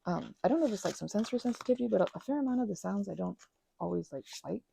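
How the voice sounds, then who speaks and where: A young woman chatting in a rambling, casual way while she works on a craft project, sometimes dropping into soft whispers. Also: mid-range voice, expressive, rapid-fire, creaky, a little hiss or hum. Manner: chatty, rambling, drifts between soft whispers and louder asides. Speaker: ASMR creator.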